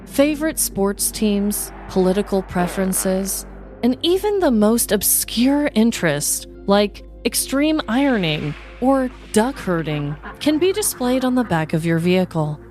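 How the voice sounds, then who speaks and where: A woman's voice: mid-range voice, very animated, unhurried, a little mellow, soft background music. A woman podcast host who moves between casual chat and scripted, descriptive comedic storytelling.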